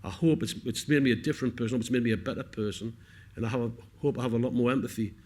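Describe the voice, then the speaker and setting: A man's voice: mid-range voice, racing, a little mellow. A man with a North East English accent, speaking earnestly and emphatically at a public Q&A, repeating words for weight. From north-east England. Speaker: film cast member. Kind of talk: heartfelt speech at a public Q&A. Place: on stage at a cinema Q&A.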